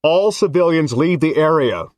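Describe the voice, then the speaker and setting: A stern police officer barking loud, clipped orders through a bullhorn, as a male narrator acts him out. The voice rises well above the reader's own low tone. The sound is close and dry. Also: sing-song, slow, dry recording, exaggerated voice. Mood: announcing.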